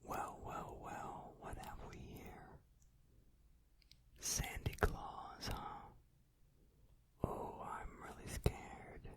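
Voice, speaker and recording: A performer whispering the part of a cruel, gambling male boogeyman who gloats in singsong. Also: natural voice. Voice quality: whispered, unvoiced. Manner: mocking, singsong. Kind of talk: whispered song cover, ASMR.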